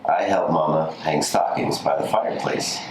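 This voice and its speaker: A man with a notably deep voice reads a storybook aloud. The recording is roomy and echoey, with faint noise behind him. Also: moderate pace. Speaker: community story reader. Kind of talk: scripted storybook reading.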